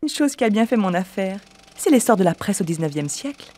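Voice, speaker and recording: A woman's voice: medium pitch, very animated, a little fast, dark tone, no room sound. A woman voicing Caricature, a mischievous art form. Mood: playful. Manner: mischievous, teasing, laughs at her own exaggerations. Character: personified caricature, playful boastful female art form. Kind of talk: scripted documentary narration in character.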